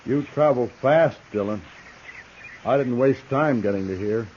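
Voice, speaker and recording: On a muffled, hissy old radio recording, a man plays a callous western outlaw. The voice is very mellow and mid-pitched, unruffled and sardonic, a killer with no remorse. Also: a little slow, close to an everyday voice. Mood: wry. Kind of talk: 1950s radio western acting. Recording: dull, noisy vintage radio recording.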